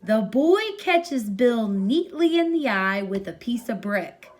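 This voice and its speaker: A woman's voice: a little high, sing-song, slow pace, a little mellow. Woman reading a short story expressively. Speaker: story reader.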